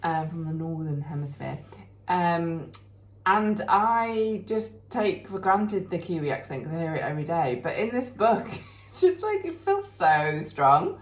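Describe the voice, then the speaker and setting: A woman's voice: fairly low pitch, expressive, a little slow, echoey room. A woman with a Yorkshire accent, co-hosting a craft podcast in a casual chat, chatty and often trailing off with ums. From Yorkshire, England. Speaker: podcast co-host.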